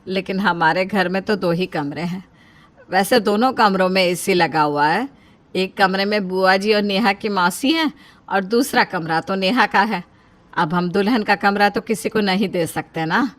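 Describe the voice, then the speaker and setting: A woman playing the worried, practical middle-aged mother of a bride in an animated Hindi story, coaxing and exasperated. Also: mid-range voice, normal speed, close to an everyday voice. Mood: polite, flustered.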